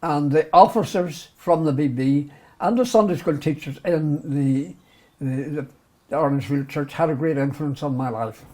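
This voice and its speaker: A man's voice: fairly high pitch, moderate pace, mellow. An older man with a Belfast accent, looking back on his life in an interview, self-deprecating and often breaking into chuckles. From Northern Ireland. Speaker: interviewee, retired doctor. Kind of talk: reminiscing interview.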